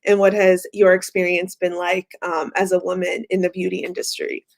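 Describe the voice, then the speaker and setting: A woman's voice: on the low side, little pitch movement, normal speed. A young woman hosting a casual interview over a video call, asking long questions full of ums. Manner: friendly, filler-heavy questions. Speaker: interview host. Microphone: laptop webcam, video call.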